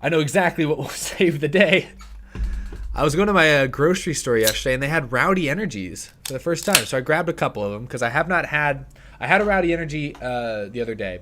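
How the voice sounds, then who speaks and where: A man's voice: mid-range voice, a bit bright, a little hiss or hum. Chatty young man on a home stream. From United States. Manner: chatty, thinking aloud, self-correcting. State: slightly hoarse, tickle in throat. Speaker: livestream host. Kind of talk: casual livestream chat. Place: small home streaming room. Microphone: headset and studio mic on arm.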